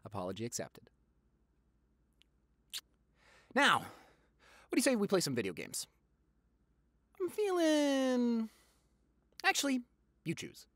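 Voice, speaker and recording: Animated, gossipy young boyfriend acted by a man. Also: high voice, creaky, dry recording, clean studio sound, exaggerated voice. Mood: soft, apologetic. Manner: theatrical, exaggerated, teasing, chatty. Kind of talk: boyfriend audio roleplay, acted monologue.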